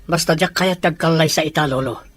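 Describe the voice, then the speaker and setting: A man's voice: fairly high pitch, brisk, a bit bright. A young man playing a firm grandson and company boss in an audio drama. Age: young adult. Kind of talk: Ilocano audio drama dialogue.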